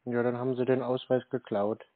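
A man giving terse, deadpan answers in an unscripted phone conversation. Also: medium pitch, brisk, dry recording. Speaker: scam baiter. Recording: tinny narrow phone line.

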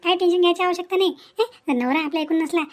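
Cartoon village aunt, played by a woman, Varhadi Marathi accent, very high voice, fussing and folksy, repeats her points. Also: very fast. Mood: consoling. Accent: Varhadi Marathi, Vidarbha. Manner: folksy, repeats herself, punctuates with questioning hums. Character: consoling, indignant village aunt, fond of the bride. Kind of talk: cartoon family melodrama acting.

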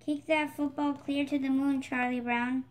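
A female voice with a high pitch reads a children's picture book aloud. She presents it as the host of a read-aloud channel. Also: flat delivery, moderate pace. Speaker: storybook reader, channel host.

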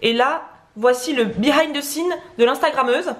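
A woman's voice: sits high, moderate pace, a little hiss or hum. A young woman chatting casually to camera in her home kitchen, explaining breakfast as she goes. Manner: chatty, lively, explains while cooking. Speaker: vlogger co-host.

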